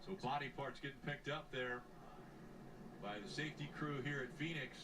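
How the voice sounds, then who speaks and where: A man's voice: mid-range voice, fairly even intonation, moderate pace, noisy background. Male race announcer heard over TV. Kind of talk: broadcast commentary. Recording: distant television audio with music underneath.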